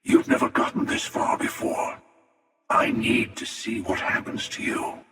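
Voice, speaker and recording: Cynical raspy sci-fi game villain, threatening, swoops around a lot, echoey and far off. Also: male voice, mid-range voice, wildly swooping pitch, pushed and bright, faint music behind, heavily acted. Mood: wry. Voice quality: gravelly, breathy rasp. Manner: menacing, sardonic, deliberate. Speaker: video game character voice. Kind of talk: dramatic sci-fi game dialogue.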